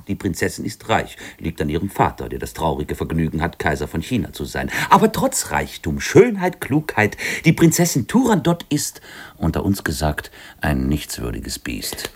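A man's voice: low-pitched, slightly rough, full character voice. A man playing a pedantic comic commedia clown in a radio play, declaiming in a mock-scholarly way. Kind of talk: theatrical German radio play acting.

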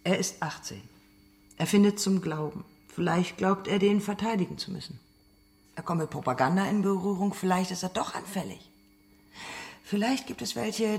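Creaky-voiced, needling female investigator. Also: on the low side, darkish tone. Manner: pointed, provoking, controlled. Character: probing, needling female investigator interrogating a witness. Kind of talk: radio drama interrogation.

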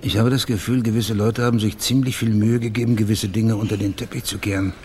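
An actor playing a probing, quietly insistent private investigator in a German radio crime drama, speaking in a measured, matter-of-fact way. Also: male voice, on the low side, level tone, slight background noise, natural voice. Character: probing, plain-spoken male private investigator named Max, quietly insistent.

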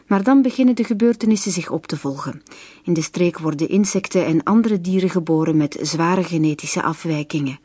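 Husky, low-voiced woman announcer. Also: dark tone, dry recording. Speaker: radio announcer. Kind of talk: scripted narration, introducing a radio play. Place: dry studio.

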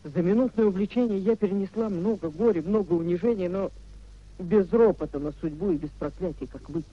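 An actress in a 1950s Russian radio play as a nobleman's dignified, wronged wife, speaking in a measured, theatrical way. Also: fairly low pitch, close to an everyday voice. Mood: reproachful. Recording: dull, muffled 1950s radio recording.

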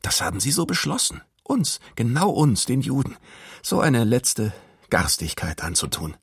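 Indignant male resident: very raspy, creaky, emphatic and halting, radio drama testimony. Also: mid-range voice, sing-song, no room sound, studio quality, exaggerated voice. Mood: bitter, indignant. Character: bitter, indignant male resident accusing others. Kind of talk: documentary radio play testimony.

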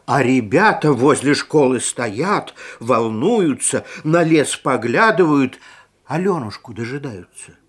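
Female storyteller for children's audio tales, slightly husky, wide swings of pitch, gentle and expressive. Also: slow pace. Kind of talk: children's fairy-tale narration.

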